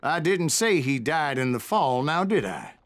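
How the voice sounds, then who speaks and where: An actor playing a weathered older gunslinger with an American Western drawl, telling his tales of revenge in a wry, deliberate way for a video game. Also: a little high, sing-song, a bit bright. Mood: wry storytelling. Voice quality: gravelly. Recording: music behind the voice.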